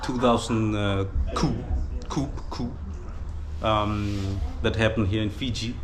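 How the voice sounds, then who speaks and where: A man's voice: on the low side, steady tone, slow, heavy background noise. A man in his twenties with a Danish accent tinged with Fijian, answering viewer questions hesitantly in a casual chat, with many fillers. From Denmark. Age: 20s. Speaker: vlogger. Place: village gathering in Fiji.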